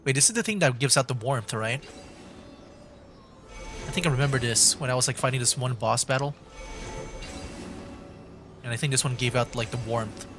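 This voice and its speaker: A man streaming a video game with casual commentary, thinking aloud in hesitant, halting bursts. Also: mid-range voice, over music.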